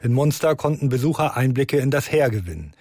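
A man reading a radio news report in a steady, factual way. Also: medium pitch, brisk, dry recording, studio quality. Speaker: news correspondent.

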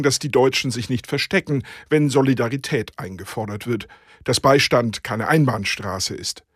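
A man reading a scripted opinion commentary for radio in a serious, deliberate way. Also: mid-range voice, breathy edge, dry recording, clean studio sound.

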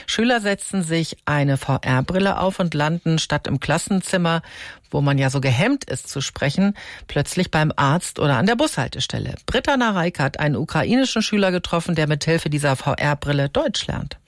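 A woman's voice: sits low, expressive, normal speed, dry recording, studio quality. A woman presenting on radio with a conversational scripted introduction.

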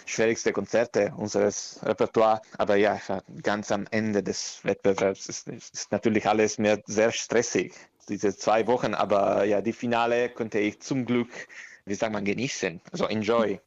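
A man's voice: lowish voice, little pitch movement, moderate pace, no room sound, dull audio. A man from Italy with an Italian accent, talking casually in an interview, searching for words and slipping into English. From Parma, Italy. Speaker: interviewee, orchestral bassoonist.

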